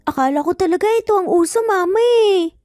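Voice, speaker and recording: A woman voicing an excitable eight-year-old boy in a Tagalog story, with whiny, insistent childlike pleading. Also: very high voice, fast talker, studio quality, voice pushed up. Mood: sleepy, innocent. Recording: faint music, roomy echo.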